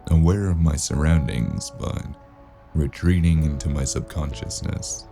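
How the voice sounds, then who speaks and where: A man playing a gravelly, brooding noir detective. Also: rumbling low, music in the background, heavily acted. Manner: slow, brooding, hushed. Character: weary, brooding male noir detective narrator. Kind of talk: first-person noir narration.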